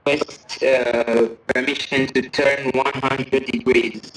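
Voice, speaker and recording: A man's voice: medium pitch, little pitch movement, slow pace, a bit bright, roomy sound, recorded from a distance, rough recording. A man in a multiplayer flight game, making terse amateur pilot radio requests.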